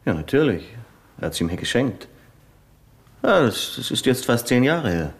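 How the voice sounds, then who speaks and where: A man's voice: medium pitch, very animated, moderate pace, gravelly. An actor playing a nervous married businessman in a 1970s radio drama, speaking hesitantly with a Swiss German accent and stammering at times.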